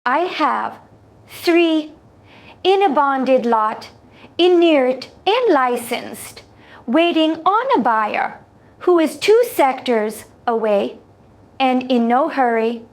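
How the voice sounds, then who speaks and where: A woman playing a calm, exacting alien trader in a science fiction story, speaking formally with careful, deliberate phrasing. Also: high-pitched, exaggerated voice. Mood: grave, measured.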